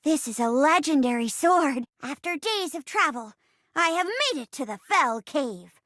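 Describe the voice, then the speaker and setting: Tiny, high-pitched plucky forest sprite. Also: female voice, lively intonation, unhurried, fairly bright tone, no echo, studio quality. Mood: excited, triumphant. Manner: grandly melodramatic, mock-archaic. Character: small, earnest, plucky young female forest sprite. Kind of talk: theatrical fantasy role-play in a video game.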